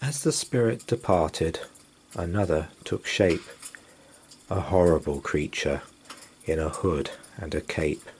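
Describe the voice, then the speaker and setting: A man reading a rhyming children's story aloud as a storyteller, with deliberate, theatrical expression. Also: deep voice, darkish tone, a little hiss or hum. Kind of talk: dramatic rhyming bedtime story narration.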